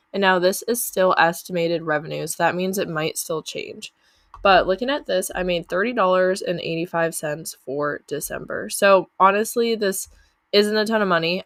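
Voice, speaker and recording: A woman's voice: on the low side, a bit bright, no room sound, studio quality. A young woman talking casually through her channel earnings, chatty with lots of fillers, her voice a little off from a lingering cough. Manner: chatty, uses many fillers like "like" and "um". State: lingering cough after illness. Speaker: YouTuber reviewing channel earnings. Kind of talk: casual screen-share walkthrough.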